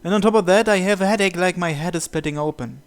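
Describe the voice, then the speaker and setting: A man reading a visual novel's story text aloud as narration, in a measured, deliberate way. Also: high-pitched, clear, resonant tone. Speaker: video game player reading the script aloud.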